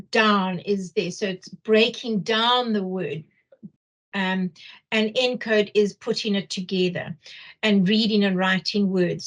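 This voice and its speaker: Slow-paced Zimbabwean woman giving a lesson. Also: medium pitch, rough recording. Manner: halting, repeats phrases for emphasis. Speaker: teacher trainer leading a workshop. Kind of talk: explanatory lecture.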